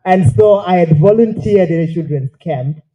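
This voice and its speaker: A man's voice: sits high, moderate pace, rough recording. A man with a Zimbabwean accent speaking as master of ceremonies, telling stories informally and playfully to a formal gathering. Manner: playful, animated storytelling. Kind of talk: informal public speaking, humorous anecdote. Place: hotel function room at a formal event.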